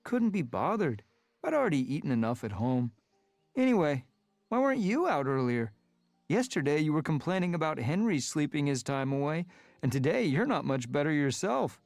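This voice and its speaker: A man putting on a lazy, squeaky house tomcat. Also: very animated, normal speed, gravelly, darkish tone, no room sound, soft background music. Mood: teasing. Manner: high eager squeaky mewing.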